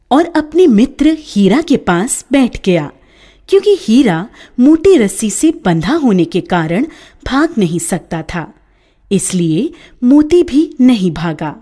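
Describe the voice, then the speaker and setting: A woman narrating a children's story in a scripted storybook style, with an expressive, lilting delivery. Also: a little high.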